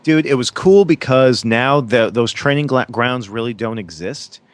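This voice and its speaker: A man's voice: medium pitch, animated, moderate pace. A man in his thirties from Massachusetts, a radio DJ chatting as a guest in a casual interview, rambling with many fillers and restarts. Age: 30s.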